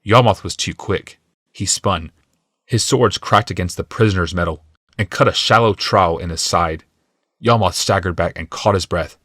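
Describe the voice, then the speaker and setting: A man's voice: low voice, normal speed, fairly bright tone, no echo, studio quality. A man reading scripted, dramatic fantasy narration as an audiobook narrator.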